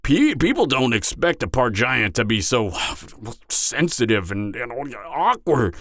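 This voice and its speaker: A man's voice: medium pitch, sing-song, slow, a bit bright, no echo, studio quality, full character voice. A man playing an anxious, awkward, slightly drunk part-giant mercenary in an intimate fantasy audio roleplay, hesitant and stammering. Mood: tipsy, wistful. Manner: hesitant, stammering, trailing off, sighing.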